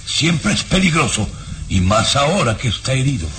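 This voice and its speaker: A man's voice: medium pitch, big pitch swings, moderate pace, heavy background noise, close to an everyday voice. A man playing a wary owner of a fierce guard dog who cautions anxiously, in an old radio play. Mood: alarmed.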